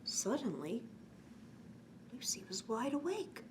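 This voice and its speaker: Higher-voiced woman reading a bedtime story, noisy recording. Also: takes it slowly. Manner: expressive, addresses young children with gentle questions. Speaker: children's storytime host. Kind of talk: read-aloud bedtime story with chatty asides. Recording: clearly noisy background.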